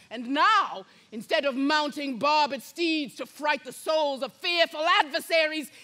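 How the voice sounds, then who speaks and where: A woman playing an embittered, scheming deformed royal villain, declaiming a Shakespearean stage monologue with deliberate phrasing and sudden hushed menace. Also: very high-pitched, exaggerated voice.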